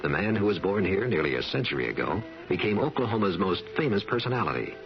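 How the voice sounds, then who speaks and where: An American man with a deep, expressive voice narrates a radio travel ad like a storyteller. Music plays beneath, and the sound is muffled. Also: fast. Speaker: commercial announcer. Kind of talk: scripted tourism radio commercial narration. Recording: muffled old radio broadcast, music underneath.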